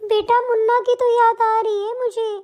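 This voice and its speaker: A woman acts out an elderly mother-in-law from a family cartoon. The voice is extremely high, almost childlike. She is plaintive and motherly, a long-suffering soul who dotes on her family. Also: flat delivery, normal speed, dark tone. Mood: tearful, aggrieved. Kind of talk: melodramatic family cartoon dialogue.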